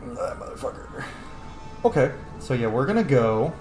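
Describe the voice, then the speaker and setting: A man's voice: medium pitch, very animated, moderate pace, a little mellow, echoey room, soft background music. A man, a young adult, hosting a gaming video with casual, sweary, emphatic commentary. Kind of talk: casual let's-play commentary.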